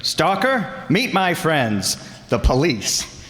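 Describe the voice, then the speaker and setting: A man's voice: on the high side, huge pitch swings, slow pace, a bit bright, full character voice. A man playing a bold, wisecracking amateur sleuth in an old-time radio mystery, with a breezy, cocky delivery. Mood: wry, confident. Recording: reverberant hall stage recording.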